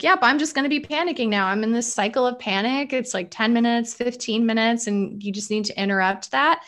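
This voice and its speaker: A woman therapist guest in an interview, explaining coping methods in a lively, animated way. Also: fairly high pitch. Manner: animated, explanatory, acts out quoted thoughts. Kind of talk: interview conversation.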